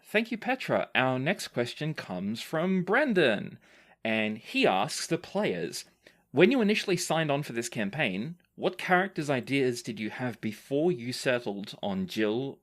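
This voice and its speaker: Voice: mid-range voice, sing-song, measured pace. A podcast host and game master reading out listener questions in an effusive way, with some casual swearing. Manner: effusive, thankful, occasional swearing. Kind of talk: podcast question-and-answer hosting.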